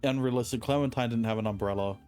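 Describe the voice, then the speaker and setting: A woman's voice: a bass voice, fast, darkish tone. A woman streamer giving casual game commentary with dry, sardonic asides. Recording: faint game music behind.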